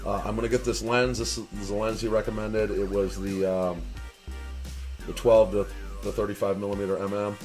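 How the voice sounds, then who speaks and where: A young man from the Chicago area chats to camera in a fairly low voice. He rambles, restarts his sentences and fills gaps with "uh" and "you know". Faint music plays behind him. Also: flat delivery, a little fast, a little mellow. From Chicago area, USA. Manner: rambling, many fillers and restarts, asks viewers for advice. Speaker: vlogger and online business owner. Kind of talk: casual talk to camera.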